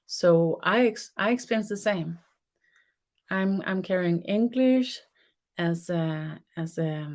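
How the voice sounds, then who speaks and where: Softly spoken Danish woman teaching. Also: fairly low pitch. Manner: soft, unhurried, searching for words with restarts. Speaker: spiritual teacher. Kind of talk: webinar teaching.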